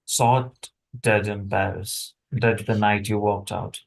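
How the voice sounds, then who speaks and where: A man playing a weary, wry assassin in a screenplay table read, talking in a conversational, halting way. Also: on the low side, little pitch movement, gravelly. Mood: defensive. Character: weary, chatty male assassin, wry under threat.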